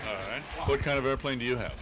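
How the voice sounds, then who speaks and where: A male radio interviewer chats informally and asks curious questions in a middle-pitched voice. Background bustle surrounds him on what is a home-taped live broadcast. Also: fairly even intonation, rapid-fire, a crowd in the background.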